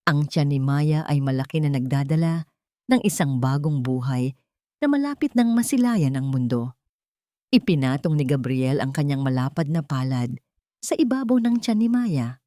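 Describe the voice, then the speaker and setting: Female Filipino-accented storyteller for dramatic scripted narration, lowish register, dry close sound. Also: lively intonation, moderate pace, mellow, no echo.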